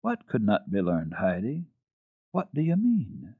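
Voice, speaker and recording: A man voicing a kindly, encouraging old grandmother in a storybook reading, coaxing and deliberate but gently firm. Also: fairly low pitch, wildly swooping pitch, husky, exaggerated voice. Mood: gently questioning. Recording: narrow-band, echoey old radio recording.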